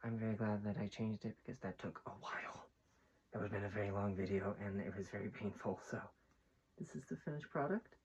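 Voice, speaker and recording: A man talking casually and informally to viewers in a soft, mellow voice, in the manner of a homemade ASMR video. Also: lowish voice, brisk, breathy edge, muffled recording. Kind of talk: casual ASMR chat while building bricks. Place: small room at home, on the floor.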